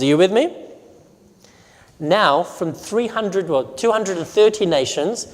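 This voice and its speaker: A man from Romania, a pastor, giving a sermon presentation, telling stories and asking the audience questions. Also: on the high side. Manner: storytelling, asks the audience questions. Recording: long hall reverb.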